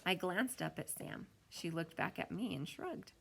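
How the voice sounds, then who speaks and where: A woman reading a children's story aloud like a teacher, with expressive delivery. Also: fairly low pitch, a little slow, gravelly, slightly rough. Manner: expressive reading with acted-out dialogue.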